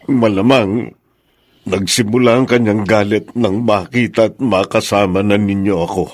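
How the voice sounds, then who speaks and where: An actor playing a humble, sorrowful older father in a radio drama, measured and regretful. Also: on the low side, steady tone, darkish tone, no room sound, studio quality, lightly acted. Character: sorrowful, humble older father blamed by his daughter-in-law. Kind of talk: Tagalog radio drama acting.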